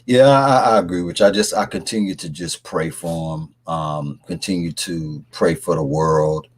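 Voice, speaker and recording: Very deep, husky male radio host. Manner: halting, repeats words, uses fillers. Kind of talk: interview. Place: radio studio.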